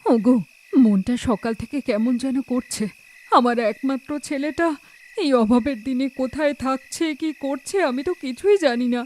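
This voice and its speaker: A woman's voice: sits high, normal speed, darkish tone, close to an everyday voice. A woman playing a stern middle-aged village mother in a cartoon, speaking emphatically. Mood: worried. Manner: emphatic, reproachful.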